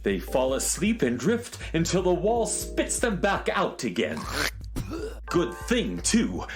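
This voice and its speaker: A fussy, excitable space handyman from a children's radio play. His voice jumps around in pitch and hurries when he gets worked up, and faint music sits under the lines. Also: takes it slowly, heavily acted. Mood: worried. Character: eager male space handyman, fussy and secretive.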